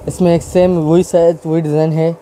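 Fast, dark-voiced shop seller. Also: male voice, on the high side, level tone, a little hiss or hum. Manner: matter-of-fact, lists prices and colours. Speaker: wholesale clothing seller. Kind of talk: product walkthrough for customers. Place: indoors in a clothing shop.

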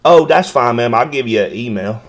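A man's voice: mid-range voice, lively intonation, fairly quick. Polite, folksy man on a call. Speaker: citizen auditor making a records request by phone. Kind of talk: phone conversation. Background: phone call.

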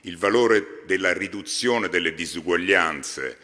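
A man in his fifties addresses a large hall from a podium microphone. His voice sits very low yet carries a bright, forceful edge, and it rings with a long reverb. He pauses and hesitates often as he speaks. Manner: halting, frequent hesitations. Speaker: foundation president. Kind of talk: formal speech at a podium. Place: large exhibition hall stage.

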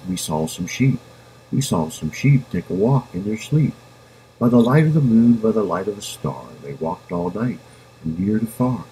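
A man reading a children's rhyming book aloud in a playful, sing-song manner with drawn-out words. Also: on the low side, warm tone, low-quality recording. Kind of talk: expressive storybook read-aloud in rhyme. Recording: home recording with background noise.

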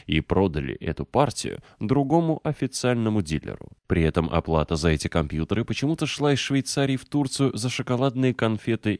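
A man with a low, deep voice reads an audiobook from a script. His delivery is measured and clearly articulated. The sound is dry, with very little room in it. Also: a little mellow, no room sound. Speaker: audiobook narrator.

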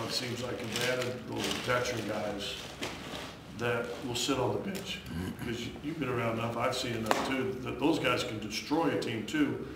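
Distant man reporter, heavy room echo. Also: medium pitch. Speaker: reporter, former player. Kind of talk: long press-conference question. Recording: distant, very echoey room mic with noise.

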